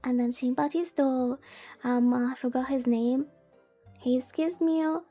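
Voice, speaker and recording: Female VTuber, high soft-toned voice, unhurried playful rambling as she plays a visual novel, game music faintly behind. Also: steady tone. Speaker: VTuber streamer. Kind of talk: casual chat while playing a visual novel.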